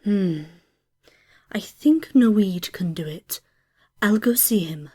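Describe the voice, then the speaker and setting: A woman playing a decisive female fate of heaven in a fantasy audio drama, with a husky voice. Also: mid-range voice, animated, slow pace, darkish tone. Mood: brisk, decisive.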